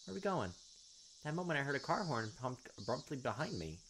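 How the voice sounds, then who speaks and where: A man's voice: medium pitch, very animated, fairly quick, background noise. A man hosting a let's play, reading visual novel narration aloud with casual commentary. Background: game music.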